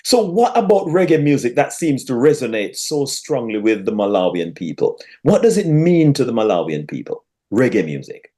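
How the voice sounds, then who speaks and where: A man's voice: mid-range voice, very animated, moderate pace, few pauses, mellow. A man from Jamaica with a Jamaican accent, hosting a conversational interview show and putting questions to his guest.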